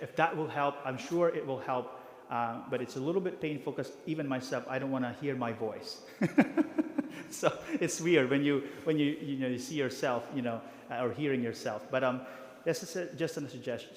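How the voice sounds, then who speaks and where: A priest briefing parish volunteers, talking haltingly with many fillers and restarts. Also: male voice, medium pitch. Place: church hall. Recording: reverberant church hall.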